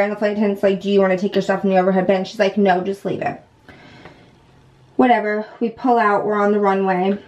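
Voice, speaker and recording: A woman's voice: medium pitch, a little mellow. Chatty young woman vlogger telling a story. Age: 20s. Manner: chatty, heavy use of "like" and "whatever". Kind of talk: casual chat, informal storytelling to camera. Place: indoors at home, bathroom. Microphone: camera at about arm's length.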